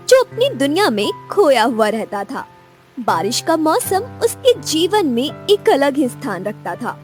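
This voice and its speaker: A woman narrates a scripted romance. Her voice is high and very melodic in its rise and fall, expressive throughout, with faint music behind. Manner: expressive storytelling.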